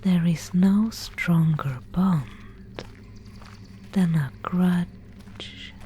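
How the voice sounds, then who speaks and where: A woman playing a mysterious, solemn guide of a gloomy fantasy realm in an ASMR roleplay, whispering breathily and close to the microphone with deliberate pauses. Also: fairly low pitch. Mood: hushed, solemn. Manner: slow, hushed, solemn storytelling with deliberate pauses.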